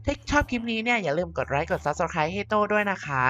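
A woman's voice: fairly low pitch, expressive, quick pace, lo-fi sound. A woman channel host giving a friendly, casual sign-off to her video. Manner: bright, friendly outro chatter.

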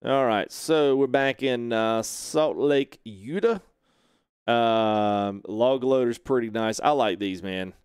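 A relaxed, chatty man in his thirties talks through a trucking game on a livestream, greeting viewers and laughing easily. His voice sits at an ordinary middle pitch. The sound is close and dry, with little room around it. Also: takes it slowly, clear, resonant tone, no room sound. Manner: laid-back, chatty, laughs often, reads chat aloud. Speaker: streamer. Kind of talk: casual gameplay livestream chat. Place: home sim-rig setup, indoors. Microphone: headset.

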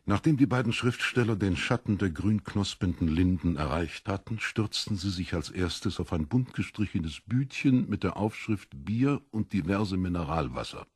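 Ein Mann liest als Hörbucherzähler einen literarischen Text, mit rauer Stimme und bedachter, dramatischer Phrasierung. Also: male voice, sits low, expressive, normal speed, few pauses, breathy edge, no echo, clean studio sound. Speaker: audiobook narrator. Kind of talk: scripted literary narration.

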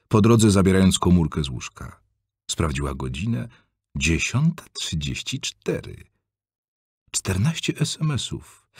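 A man reading an audiobook aloud in an expressive, varied storytelling manner, close to a studio mic. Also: low voice, slightly rough, no echo. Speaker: audiobook narrator. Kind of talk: scripted audiobook narration.

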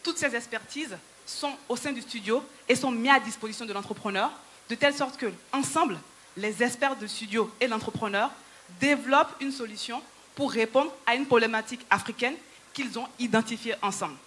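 A woman in her thirties, whose first language is Yoruba, speaks on a handheld microphone from a conference stage. Her voice is bright and sits a little high. Faint hall ambience sits behind her as she rallies the audience. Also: fairly quick, slight background noise. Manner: persuasive, rallying, addresses the audience directly. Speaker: entrepreneur and speaker.

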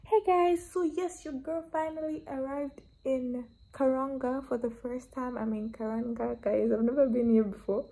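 Dark-voiced young woman vlogging excitedly. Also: sits high, moderate pace, hardly any pauses, poor audio. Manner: chatty and excited, talks straight to viewers. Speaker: travel vlogger. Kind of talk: casual vlog to camera. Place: small bedroom, indoors. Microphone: phone held at arm's length.

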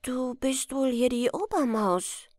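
A woman's voice: high-pitched, slow, darkish tone, no echo, clean studio sound, pitched above the performer's own voice. A woman voicing an innocent, curious little girl in a storybook, wide-eyed and naive. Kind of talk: storybook character voice.

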